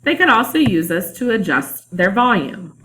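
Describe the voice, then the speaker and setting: A woman teacher narrating a calm instructional screencast about online testing. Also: medium pitch, normal speed. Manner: calm, explanatory.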